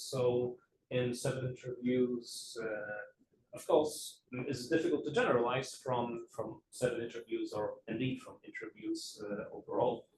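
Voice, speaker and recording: A man's voice: mid-range voice, darkish tone, slight background noise. Man lecturing, Polish native speaker. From Poland. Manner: measured, hesitant, many fillers and restarts. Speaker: researcher giving a talk. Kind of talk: academic lecture. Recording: echoey room, mic at a distance.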